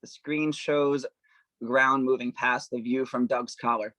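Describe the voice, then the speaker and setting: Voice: mid-range voice, steady tone, a little slow, fairly bright tone, no echo, poor audio. A narrator reading stage directions informally at a casual table read, a little amused.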